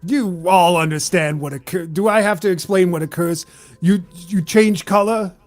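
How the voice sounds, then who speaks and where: Tabletop game registrar, played by a man: jaded, condescending drawl, higher-set male voice. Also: moderate pace, faint music behind, close to an everyday voice. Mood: sardonic, incredulous. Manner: world-weary, drawling, condescending. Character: jaded, sarcastic male registrar at a curse-declaration desk. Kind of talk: improvised tabletop roleplay.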